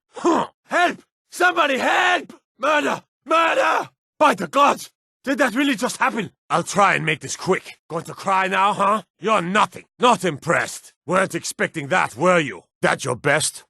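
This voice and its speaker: Gruff, gravelly fantasy town guard. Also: male voice, high voice, bright, forceful tone, dry recording, studio quality, exaggerated voice. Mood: panicked, pleading. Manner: threatening, blunt, theatrical. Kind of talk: video game combat barks and warnings.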